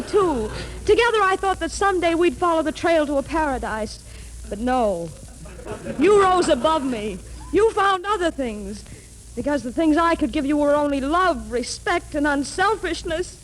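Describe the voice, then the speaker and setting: A woman's voice: high-pitched, animated, a little hiss or hum, poor audio. Woman acting overwrought lovesick heroine, swooping delivery. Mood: desperate pleading. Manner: overwrought, imploring, dramatic pauses and repetition. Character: pleading, lovesick melodramatic woman in a romantic play. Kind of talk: 1940s radio melodrama acting. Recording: 1940s radio broadcast.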